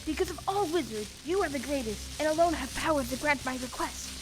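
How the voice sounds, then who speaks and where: A woman's voice: high voice, lively intonation, a little fast, a loud crowd, low-quality recording. A woman playing a cowardly talking lion in an audio play, declaiming earnestly and swinging between boastful and fearful. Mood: pleading. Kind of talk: stage-style audio play acting.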